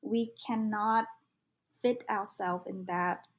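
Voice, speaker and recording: A woman's voice: medium pitch, fairly even intonation, takes it slowly. A young woman from Vietnam, a native Vietnamese speaker with a Vietnamese accent, speaking earnestly and reflectively as a podcast guest. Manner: earnest, reflective, uses many fillers. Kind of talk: casual conversation.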